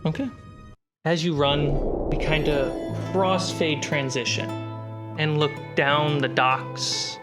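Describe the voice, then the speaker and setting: A man narrating a tabletop fantasy game as game master, in deliberate, suspenseful short phrases. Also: on the high side, steady tone. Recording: music behind the voice.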